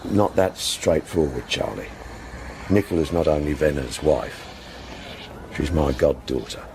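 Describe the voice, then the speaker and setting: A man's voice: a bass voice, a little slow, creaky, background noise, exaggerated voice. A man acting in a radio drama as a world-weary, proud older club owner, speaking in wry, clipped fragments. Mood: regretful, resigned.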